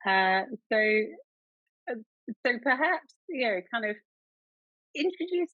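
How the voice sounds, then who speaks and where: A woman's voice: a little high, big pitch swings, slow, no room sound. A woman hosting a podcast, introducing her guest in an enthusiastic, chatty way with many restarts. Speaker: podcast host. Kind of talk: podcast interview. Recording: dull, dry sound.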